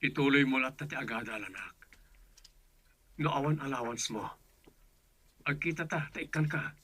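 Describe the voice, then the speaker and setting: An actor playing a gentle, soft-spoken, resigned middle-aged father in a radio drama. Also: medium pitch, moderate pace, husky.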